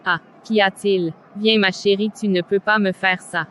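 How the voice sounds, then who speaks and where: A performer playing a pushy, dismissive young woman headed out partying, in a scripted animated drama. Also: mid-range voice, moderate pace, natural voice. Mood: scornful. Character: brash young female party-goer, mocking, pushy. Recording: clearly noisy background.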